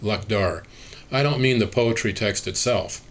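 A man reading an oral history interview transcript aloud at a measured, even pace, speaker labels included. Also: on the low side, slight background noise.

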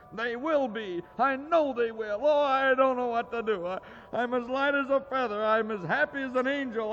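A man reading aloud and voicing an elderly male miser, giddy with joy, with a husky voice and breathless exclamations and chuckles. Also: very high-pitched, fairly bright tone, big-room sound, muffled recording, pitched above the performer's own voice.

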